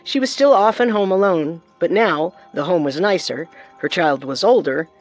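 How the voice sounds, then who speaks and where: A woman narrates true crime from a script as a co-host. Her voice is on the low side, with music playing underneath. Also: expressive, moderate pace.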